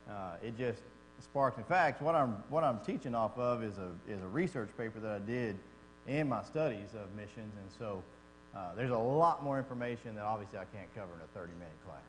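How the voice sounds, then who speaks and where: A man's voice: fairly low pitch, expressive, brisk. A man from Texas with a Texan accent, teaching a church Bible class in a folksy, conversational way and asking the class questions. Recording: echoey hall with mains hum.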